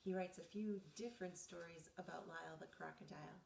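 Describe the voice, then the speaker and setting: A woman reading a children's bedtime story aloud in an expressive, dramatizing way. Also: fairly low pitch, brisk, gravelly, a little hiss or hum. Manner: animated, dramatizing dialogue for children. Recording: roomy echo, distant mic.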